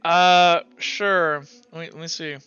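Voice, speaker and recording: A man's voice: on the high side, fairly even intonation, slow, sharp tone, highs cut off. A man running a tabletop game as game master, describing items in a rambling way full of "like" and "uh.". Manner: rambling, heavy use of "like" and "uh" fillers. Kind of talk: narrating a tabletop game over voice chat.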